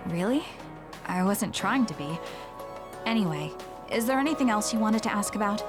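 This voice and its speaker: Woman voicing a serious young female soldier, medium pitch, measured, blunt, anime game dub, music underneath. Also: lively intonation, lightly acted. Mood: calm, frank.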